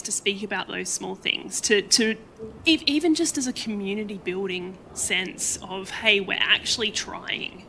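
Bright-toned earnest Australian woman. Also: mid-range voice, slight background noise. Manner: earnest, encouraging, repeats small words. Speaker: panel guest. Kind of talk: panel discussion answer.

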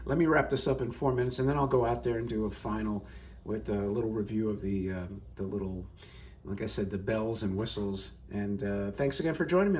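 A man's voice: lowish voice, a little mellow, a little hiss or hum. A man talking casually while filming his car from a phone, rambling with many fillers and restarts, first in a hotel room and then out in a parking lot. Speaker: car vlogger. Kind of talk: casual off-the-cuff commentary.

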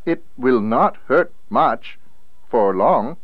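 Man playing a formal, animated male technician. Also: medium pitch, slow pace, no echo, lo-fi sound. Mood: clinical. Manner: formal, precise, clipped. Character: formal, earnest male salesman-technician, secretly a time investigator. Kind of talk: radio drama acting.

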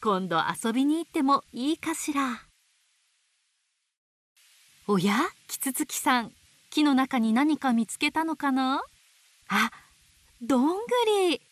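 A woman reading picture books aloud to babies in a gentle, sing-song way, dwelling on playful sound words. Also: high-pitched, dry recording. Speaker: storybook narrator voicing an owl. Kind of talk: children's picture book read-aloud.

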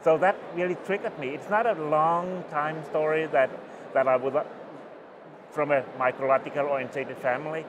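A middle-aged Danish man with a Danish accent, giving an oral history interview at a conference and talking in halting phrases with many restarts. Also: a little high, steady tone, noisy background. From Denmark. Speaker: interviewee, mycologist. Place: small booth at a conference, indoors. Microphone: lapel mic.

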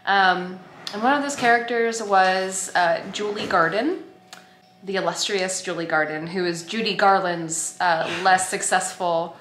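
A woman vlogger in her thirties talks casually and playfully at a mid pitch. The room echoes around her, and there is faint noise behind. She holds the camera at arm's length at home. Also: unhurried, a bit bright. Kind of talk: casual vlog chat. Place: home kitchen and dining room, indoors. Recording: roomy echo, light background noise.

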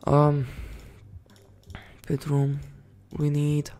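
Excitable young-sounding man gaming, slow talk. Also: a little high, a little hiss or hum. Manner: excitable, swears, shouts at units. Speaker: gaming channel host. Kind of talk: casual gameplay commentary.

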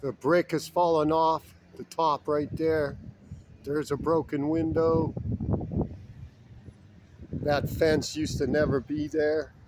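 A middle-aged man talks slowly and thoughtfully as he walks around outdoors. His voice sits a little high for a man. Faint background noise sits under the camera-mic sound. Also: little pitch movement. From Youngstown, Ohio. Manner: unhurried, reflective, nostalgic. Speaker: urban explorer vlogger. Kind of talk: walking tour narration. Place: outdoors around an abandoned school.

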